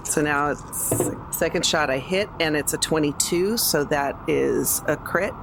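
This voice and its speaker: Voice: medium pitch, unhurried. A tabletop game player chatting casually at the game, calling out dice results and reactions. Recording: noisy recording with clear background noise.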